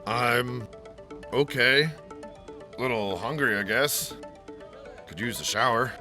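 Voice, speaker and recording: A man's voice: medium pitch, slow, sharp tone. A man playing a mild, plain-spoken military scout captain being interviewed in an audio drama, polite and unassuming. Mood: mild, slightly awkward. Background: music.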